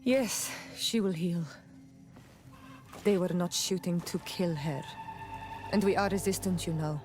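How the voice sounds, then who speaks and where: An actress playing a proud, protective native woman in a video game, with a deliberate, solemn delivery. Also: lowish voice, darkish tone, lightly acted. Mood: calm, proud. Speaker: companion character. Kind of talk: scripted game dialogue. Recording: game music behind the voice.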